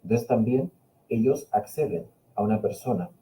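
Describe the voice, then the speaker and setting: Dark-voiced Spaniard on a video call. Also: male voice, on the low side, expressive, moderate pace, rough recording. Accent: Peninsular Spanish mixed with Chilean Spanish. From Spain. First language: Catalan. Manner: reflective, hesitant, with many fillers and restarts. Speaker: invited speaker, university linguistics professor. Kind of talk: webinar talk answering a question.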